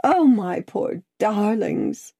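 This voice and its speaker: A woman's voice: lowish voice, sing-song, normal speed, darkish tone, voice pushed up. A woman voicing an agitated, prim and devoted Englishwoman in a storybook reading, urgent and tearful. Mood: flustered, composing herself. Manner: urgent, exclaiming, prim and formal.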